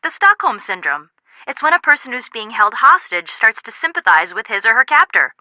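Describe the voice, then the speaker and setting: Dry witted american young woman, game sidekick, sensible, very bright voice, close dry sound. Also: fairly high pitch, very animated, normal speed, no echo, full character voice. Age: young adult. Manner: wry, matter-of-fact. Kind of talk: video game voice acting.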